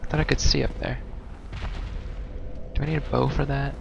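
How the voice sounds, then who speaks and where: A man's voice: mid-range voice, flat delivery, moderate pace, vocal fry, muffled recording. A man giving casual let's play commentary with wry, self-deprecating muttered asides, recorded on a mic too close to his mouth. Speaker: gaming video host. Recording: noisy close mic with breath sounds.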